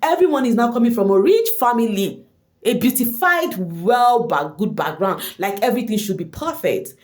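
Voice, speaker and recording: A woman's voice: fairly high pitch, normal speed. A woman with a Nigerian accent and Igbo as her first language, giving casual gossip commentary straight to her viewers and slipping in Pidgin slang. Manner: animated, addresses viewers directly, mixes in Pidgin slang. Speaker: online reality show commentator.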